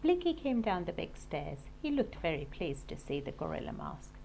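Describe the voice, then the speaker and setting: A woman teacher reading a children's storybook aloud in an expressive, animated way. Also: deep voice, moderate pace, gravelly, a little hiss or hum.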